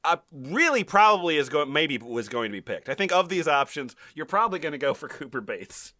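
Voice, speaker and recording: A man hosting a comedy podcast, talking haltingly with many fillers and amused asides. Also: on the high side, big pitch swings, pushed and bright, no echo, clean studio sound. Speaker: podcast host.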